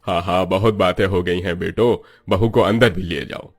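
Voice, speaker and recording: A man's voice: low voice, fairly even intonation, normal speed, faint music behind. A man voicing a stern, impatient older father-in-law in a cartoon story. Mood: brisk.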